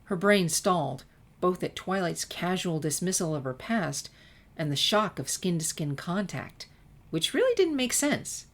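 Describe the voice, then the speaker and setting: A woman's voice: fairly low pitch, big pitch swings, measured pace. A woman narrating fan fiction as a scripted audiobook-style story reading. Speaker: story reader.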